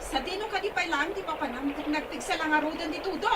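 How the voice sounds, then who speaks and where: Very high, scolding big sister played by an actress. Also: female voice, fast, over loud music. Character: scolding but caring older sister. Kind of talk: amateur audio drama acting. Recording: clearly noisy background ambience.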